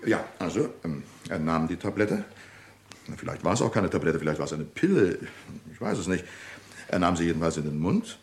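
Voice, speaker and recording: Deep-voiced, discreet businessman. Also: big pitch swings, creaky, exaggerated voice. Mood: hesitant, recalling. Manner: formal, deliberate, hesitant pauses. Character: discreet, composed businessman giving testimony, male. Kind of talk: German radio crime drama acting.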